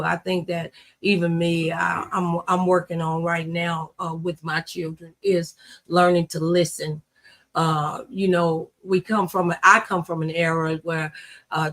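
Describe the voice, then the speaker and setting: A woman's voice: lowish voice, fairly bright tone. A middle-aged woman hosting a talk show in a casual, conversational style.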